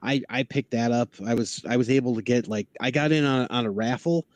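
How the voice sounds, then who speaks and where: A man's voice: medium pitch, fairly quick, no room sound. Enthusiastic geeky man chatting, dry sound. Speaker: podcast guest. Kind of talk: casual chat about cartoons.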